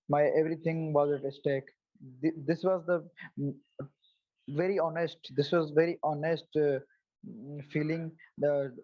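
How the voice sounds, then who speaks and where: A man in his twenties from Pakistan, speaking as a guest on a video call, halting, with many fillers and restarts. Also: fairly high pitch, no echo, muffled recording. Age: 20s. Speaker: guest, psoriasis advocate. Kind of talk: casual interview answers.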